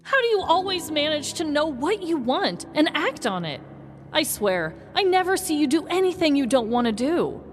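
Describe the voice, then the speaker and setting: An anxious millennial woman from an audio story, acted by a woman, melodramatic and full of yearning. Her voice is very high and swings widely in pitch, set in long reverb. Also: big pitch swings, moderate pace, faint music behind. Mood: pleading.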